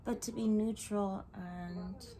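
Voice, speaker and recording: A woman giving a spiritual tarot reading at home into her camera. She talks in a croaky, meandering, reflective way, full of fillers, and often drops into whispers. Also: medium pitch, fairly even intonation, takes it slowly, dark tone. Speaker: tarot reader. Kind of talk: spiritual card reading monologue. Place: home room. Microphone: camera mic.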